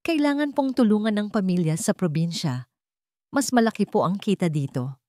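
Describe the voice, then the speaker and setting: A woman's voice: mid-range voice, lively intonation, normal speed, mellow, dry recording, studio quality, voice pushed up, lightly acted. A woman voicing a shy, deferential young nanny in an audio love story. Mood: shy, earnest.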